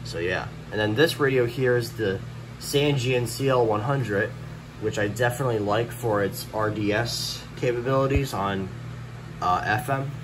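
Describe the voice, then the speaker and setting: A man's voice: medium pitch, normal speed. A man narrating a casual, unscripted show-and-tell in a rambling way, often repeating himself. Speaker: hobbyist vlogger showing a radio collection. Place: small indoor room. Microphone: handheld camera held by the speaker. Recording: steady noticeable hum of room noise.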